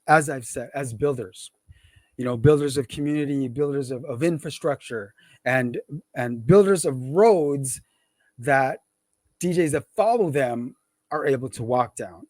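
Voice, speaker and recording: A man's voice: medium pitch, a little mellow, no room sound. A man hosting a casual hip hop interview show, emphatic and slangy, repeating phrases for effect. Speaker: podcast and livestream host.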